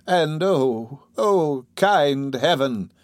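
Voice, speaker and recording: A man's voice: a little high, expressive, very slow, no echo, clean studio sound, pitched above the performer's own voice. A man voicing a dying old pauper woman who rambles in guilt, groaning and agitated, in a storybook reading. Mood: pleading.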